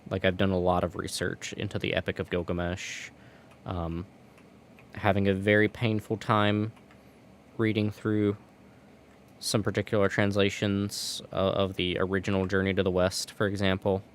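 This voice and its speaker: A man's voice: low-pitched, monotone, moderate pace, slight background noise. A man streaming from home, chatting casually while gaming, rambling and restarting his words.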